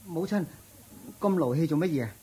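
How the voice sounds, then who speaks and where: A woman's voice: low-pitched, animated, brisk, mellow, husky, full character voice, rough recording. A woman playing a weak-willed young man in a radio drama, pleading tearfully with his mother. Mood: exasperated. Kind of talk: Cantonese radio drama acting.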